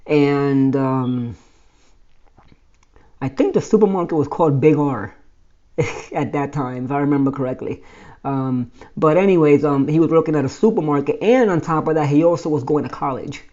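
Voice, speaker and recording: A man's voice: a little high, moderate pace, dark tone, dull audio. A man in his forties from Brooklyn, New York, casually telling his life story with many fillers and restarts. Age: 40s.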